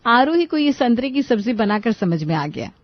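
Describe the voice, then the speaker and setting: High-voiced woman storyteller. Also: big pitch swings, moderate pace, no room sound, highs cut off. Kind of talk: scripted comic moral-story narration.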